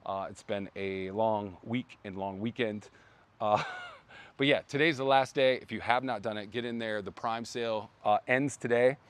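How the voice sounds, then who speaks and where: A man hosting a casual brand livestream outdoors, rambling with many fillers and restarts, his voice going hoarse. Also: on the low side, normal speed, fairly bright tone. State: losing his voice. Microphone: phone.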